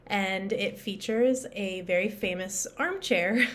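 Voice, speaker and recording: A woman with a mid-range voice asks a trivia question from her book. Her delivery is bright and friendly. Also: mid-range voice, slow pace. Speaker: author. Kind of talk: casual trivia presentation.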